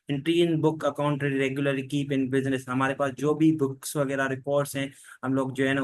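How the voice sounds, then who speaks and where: Man, flat-voiced lecturer, online class, repetitive and filler-heavy. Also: medium pitch. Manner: steady, repetitive explaining with many fillers. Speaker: teacher.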